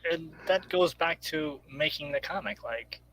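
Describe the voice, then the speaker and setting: A man's voice: on the high side, measured pace, pushed and bright, a little hiss or hum, rough recording. A man chatting casually as a member of a discussion panel. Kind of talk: casual chat.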